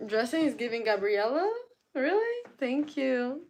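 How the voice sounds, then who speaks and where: A young woman from Canada hosts a relaxed live stream, talking at an unhurried, drawn-out pace. She greets viewers one by one and replies to their chat comments in an easygoing, conversational way. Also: high voice. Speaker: livestreamer.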